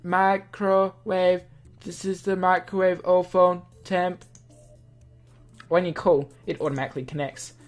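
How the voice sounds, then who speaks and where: A gamer voicing the recorded female guidance of a phone-operated microwave in a measured, instructional way. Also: medium pitch, level tone, lightly acted. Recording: music under the voice.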